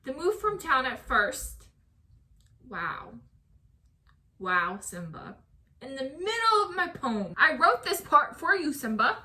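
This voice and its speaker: A woman author, animated and playfully exasperated, chatting casually and reading her own poem. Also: sits high, takes it slowly, long pauses.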